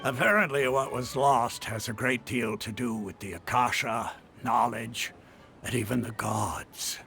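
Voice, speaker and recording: Easygoing merc boss, gravelly creaky voice, measured, video game scene with music behind. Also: male voice, medium pitch, sharp tone. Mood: advising. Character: easygoing, seasoned male chief of city mercenaries.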